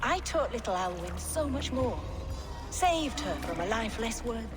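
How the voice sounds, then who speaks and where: A silky, scheming villainess, echoing hall. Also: female voice, on the high side, sing-song. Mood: smug, zealous. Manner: condescending, silky, measured. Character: cultured, scheming, zealous female villain of a secret order. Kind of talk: dramatic video game acting. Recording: long reverb, music behind.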